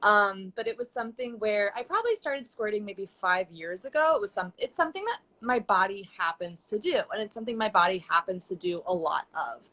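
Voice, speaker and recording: A woman's voice: medium pitch, animated, fairly quick, few pauses, bright, forceful tone. A young woman chatting casually as a guest on a live video call, talking loosely with many fillers. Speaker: guest sex educator. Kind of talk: casual chat on a live stream. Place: home.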